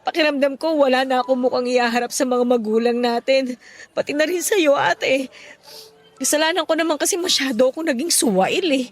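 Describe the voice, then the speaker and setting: A woman's voice: high-pitched, a little fast, soft background music. A woman playing a remorseful, long-suffering single mother and younger sister in a radio drama, speaking in a plaintive, confessional way. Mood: ashamed, pleading.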